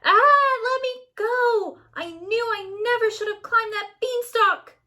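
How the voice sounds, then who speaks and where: A woman voicing a cheeky, chatty young boy in a storybook, quick to argue back. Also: extremely high pitch, bright, forceful tone, voice pushed up, full character voice. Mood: panicked. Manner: cheeky, argumentative.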